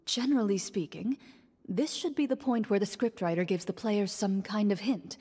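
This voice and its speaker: A woman's voice: mid-range voice, normal speed, dark tone, breathy edge, natural voice. An actress playing a composed young woman duelist who speaks in a dry, matter-of-fact way, in game dialogue. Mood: calm. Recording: game dialogue with long reverb and faint music.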